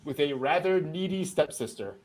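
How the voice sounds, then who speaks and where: A man's voice: fairly high pitch, lively intonation, normal speed, darkish tone, slight background noise, poor audio. A man hosting a casual anime news show, chatting and joking with a wry, amused delivery.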